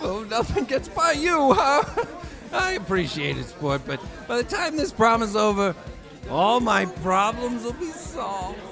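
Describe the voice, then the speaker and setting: A man playing a nervous, excitable high school principal in a comic audio drama, gushing and chummy. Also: a little slow, vocal fry, faint music behind, exaggerated voice. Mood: nervously chummy.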